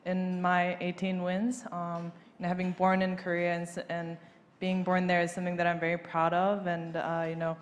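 A young woman in her twenties talking casually on stage into a handheld mic, relaxed, with lots of fillers and light laughs. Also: lowish voice, normal speed, lo-fi sound. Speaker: golfer being interviewed on stage. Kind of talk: casual interview. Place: large banquet hall stage. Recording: reverberant hall, faint noise.

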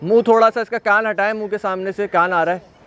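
A man's voice: high-pitched, steady tone, fast, fairly bright tone. A man hosting an on-site walkthrough of livestock, chatting casually and repeating praise often. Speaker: livestock video host. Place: outdoor goat pen at a farm.

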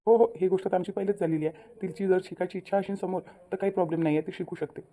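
A woman's voice: fairly low pitch, fairly even intonation, very fast, dark tone, slight background noise, natural voice. A woman voicing an earnest young bridegroom in a cartoon story, soothing and repetitive, with a Vidarbha Marathi accent. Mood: reassuring. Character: earnest, reassuring young bridegroom, polite son-in-law. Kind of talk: amateur cartoon story dialogue.

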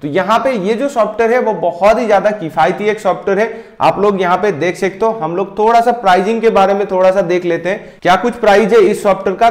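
A man in his thirties walking viewers through a software tutorial step by step, speaking directly to them. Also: sits high, reverberant. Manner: steady step-by-step explaining, addresses viewers directly. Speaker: tech tutorial host. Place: indoor room, screen-recording overlay.

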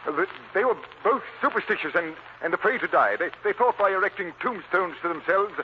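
Weird old thespian, frightened and shifty, highish and very animated, vintage radio melodrama. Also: male voice, fairly quick. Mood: frightened, pleading. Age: older. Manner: stammering, nervous. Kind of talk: 1940s radio drama acting. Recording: muffled, noisy old radio recording.

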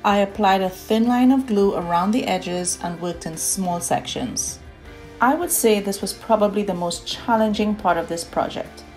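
A woman from Trinidad and Tobago with a Trinidadian accent, calmly narrating her own DIY home decor project in a scripted voice-over. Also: medium pitch, normal speed, soft background music. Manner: calm, personal and explanatory.

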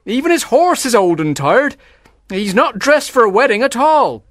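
A woman voicing an excited male servant in a storybook, urgent and loud with news. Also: a little high, moderate pace, dry recording, clean studio sound, voice pushed up.

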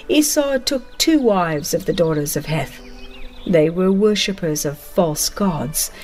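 Woman reading a bible history audiobook, solemn n deliberate, mid pitch, music playing behind her. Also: a little mellow. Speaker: audiobook narrator. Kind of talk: dramatized scripted narration of a religious history.

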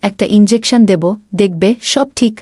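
A woman's voice: medium pitch, normal speed, a little mellow, no room sound, studio quality, lightly acted. A woman voicing a boastful, self-assured lady doctor in an animal cartoon, reassuring in manner.

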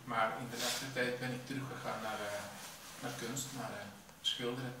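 A middle-aged man, an artist answering interview questions in a halting way, searching for words. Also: mid-range voice, fairly even intonation. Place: indoor art gallery with bare floor. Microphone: camera a few metres away. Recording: echoey room, distant mic, clear background noise.

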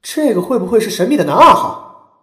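A woman's voice: mid-range voice, sing-song, fairly quick, bright, forceful tone, roomy sound. An actress playing a knowing female entertainment gossip follower in an audio drama, musing speculatively.

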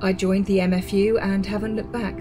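A woman's voice: medium pitch, flat delivery, brisk, natural voice. Radio drama rebel played by a woman: grim, earnest ex-insider, emphatic delivery, mid-range female voice over prominent music. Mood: bitter, intense. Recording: music bed under the voice.

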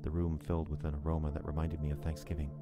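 An extremely low-pitched man narrates an audio drama as a sober, uneasy witness. His telling is measured and literary. Music is clearly heard beneath the voice. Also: completely flat delivery. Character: sober male narrator, uneasy listener to Ovid's tale.

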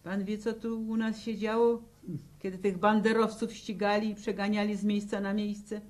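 An actress playing a weary peasant widow with a rural Polish accent, telling her story haltingly in a 1960s radio drama. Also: mid-range voice, flat delivery, a little mellow, close to an everyday voice. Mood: sorrowful. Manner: slow, halting storytelling in plain folk speech. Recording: old 1960 radio drama recording.

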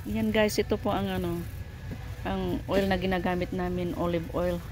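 A woman's voice: lowish voice, flat delivery, normal speed. Filipino woman vlogging casually, music behind. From Philippines. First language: Tagalog. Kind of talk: casual shopping vlog chat. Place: large warehouse store, indoors. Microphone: handheld phone camera, held by the speaker.

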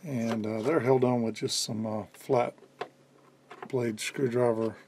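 A man in his fifties giving a casual hands-on demonstration at a workbench indoors, explaining in a halting way as he thinks aloud. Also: mid-range voice, steady tone, darkish tone, a little hiss or hum. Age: 50s. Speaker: electronics restorer and presenter.

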